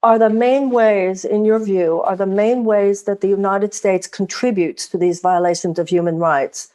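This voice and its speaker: A woman hosting a serious political interview, earnest and measured, building her points in long sentences. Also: mid-range voice, steady tone, warm tone, smooth. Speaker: host.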